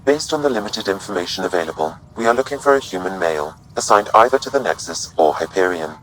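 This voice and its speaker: A male artificial intelligence in a sci-fi game. The voice is deep and measured, formal and unemotional, with a faint rumble behind it. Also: animated, fairly bright tone, low-quality recording. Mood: calm, analytical. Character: calm, precise male artificial intelligence assistant. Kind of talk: video game dialogue acting.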